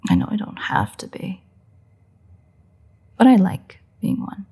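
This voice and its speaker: A woman playing a sardonic, predatory vampire in an intimate roleplay audio drama, with a husky, breathy voice and a playful, drawling delivery. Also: medium pitch, wildly swooping pitch, measured pace, warm tone, exaggerated voice. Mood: gloating, menacing. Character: teasing, unrepentant female vampire, sardonic and predatory.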